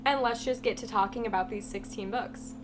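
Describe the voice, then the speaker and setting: A woman's voice: on the high side, a little fast. A woman with a congested, half-lost voice from a cold, giving a chatty, blunt book review vlog with lots of "like" fillers. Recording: steady humming background noise.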